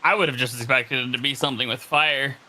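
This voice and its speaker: A man's voice: mid-range voice, fairly even intonation, quick pace, bright, forceful tone, poor audio. A man making short, casual replies as a guest co-player on a game stream. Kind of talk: casual chat, brief replies. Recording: music playing behind the voice.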